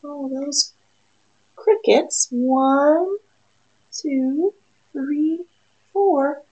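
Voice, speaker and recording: Very slow, expressive woman reading to children. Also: high voice, poor audio. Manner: expressive, sing-song, addressing young children. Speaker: preschool teacher. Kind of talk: children's picture book read-aloud. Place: small room indoors.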